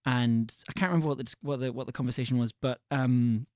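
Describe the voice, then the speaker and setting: A man's voice: medium pitch, fairly even intonation, fast talker, darkish tone, no room sound, clean studio sound. A man who grew up in Scotland, chatting on a live stream in a self-deprecating way, often repeating his words. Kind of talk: casual chat on a live stream.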